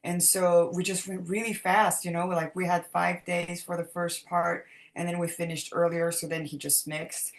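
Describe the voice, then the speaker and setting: A young woman from France talks loosely in an interview, her words trailing through fillers and restarts. Her voice sits a little low for a woman. She speaks over a video call. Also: flat delivery, normal speed, hardly any pauses. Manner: loose, rambling, many fillers. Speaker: singer, interview guest. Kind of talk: casual interview talk.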